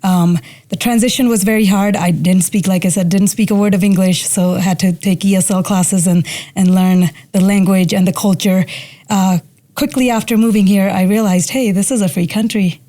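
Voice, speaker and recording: Indian-accented woman with a mellow voice. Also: medium pitch, steady tone, normal speed. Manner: earnest, repeats words while telling her story. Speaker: police officer guest. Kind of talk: personal interview.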